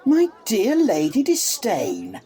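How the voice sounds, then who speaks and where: A man's voice: high voice, huge pitch swings, slow pace, dark tone, slight background noise, full character voice. A man playing a cocky bachelor soldier, wry and mock-serious, in a Shakespearean radio play. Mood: cocky banter. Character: cocky, bantering bachelor soldier, scornful of love.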